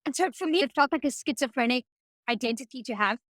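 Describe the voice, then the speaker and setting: A young woman from South Africa co-hosting a podcast, chatting in an animated, curious way and asking questions. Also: high voice, quick pace, no room sound, clean studio sound. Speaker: podcast co-host. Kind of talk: casual chat.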